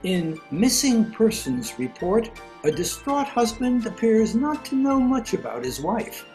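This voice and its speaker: A woman's voice: fairly high pitch, sing-song, darkish tone, soft background music, low-quality recording. A woman host reading short scripted introductions to each piece over a video call. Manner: measured, announcing.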